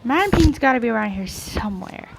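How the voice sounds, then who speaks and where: A woman's voice: fairly high pitch, lively intonation, quick pace, gravelly, background noise. A woman giving casual commentary as she plays a video game. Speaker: gamer.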